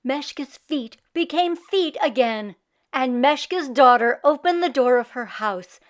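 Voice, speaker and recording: Lady reading a kids book out loud, high lively voice, takes it slow, talks right to the kids. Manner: addresses young listeners with questions. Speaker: storyteller, host of children's read-aloud show. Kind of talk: expressive children's story narration.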